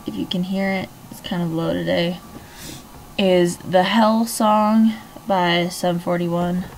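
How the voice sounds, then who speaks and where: A young woman whose voice is hoarse and wearing thin, talking casually with lots of fillers before reading a novel aloud. She records at home on a webcam. A faint hum of noise sits behind her. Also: on the low side. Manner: rambling, chatty, many fillers. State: hoarse, losing voice. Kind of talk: casual vlog chat and book reading.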